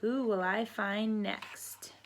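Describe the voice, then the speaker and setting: A woman's voice: mid-range voice, steady tone, slow pace. A woman voicing a patient, playful mother duck in a storybook, calling out in a singsong way. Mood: patient, curious.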